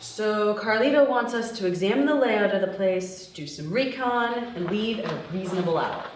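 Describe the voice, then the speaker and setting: A woman's voice: medium pitch, expressive, moderate pace, a little hiss or hum, natural voice. A woman playing a playful, teasing young heist crew leader at a screenplay table read, recorded in an echoey room. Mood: businesslike. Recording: echoey room, distant microphone.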